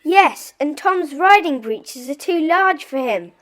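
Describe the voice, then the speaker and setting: A woman playing an excitable young schoolgirl detective in a children's audio drama, eager and earnest. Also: high voice, slow pace, dry recording, full character voice.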